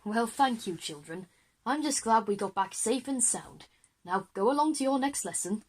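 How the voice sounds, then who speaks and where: A woman's voice: on the high side, lively intonation, normal speed, slightly rough. A woman voicing a well-meaning, flustered male history teacher in a children's audio drama, explanatory and apologetic. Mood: sheepish, tired.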